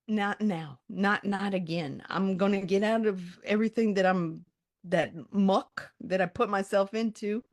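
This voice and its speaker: A woman in her mid-sixties telling her personal story in a candid, conversational way, often acting out her own thoughts. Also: mid-range voice. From born in Colombia, raised in the United States from age five. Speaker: writer and resilience coach.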